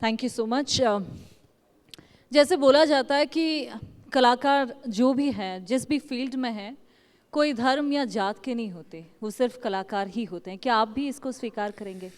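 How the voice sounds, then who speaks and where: A woman's voice: on the high side, measured pace. A woman interviewing a singer on stage, polite and deferential, laughing along with his jokes. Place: event stage.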